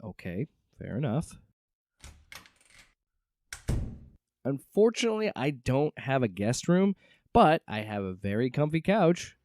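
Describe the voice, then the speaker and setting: An actor playing a wry, exasperated agency director in an audio drama, with a casual way of talking. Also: male voice, medium pitch, very animated, moderate pace, a little mellow, no room sound. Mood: sympathetic.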